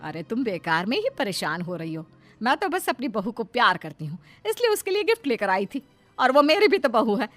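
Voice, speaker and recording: Cartoon mother-in-law, female actor: middle-aged, high voice, coaxing, possessive, doting yet insistent. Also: sing-song, a little fast, fairly bright tone, soft background music. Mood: defensive. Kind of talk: Hindi moral-story cartoon dub.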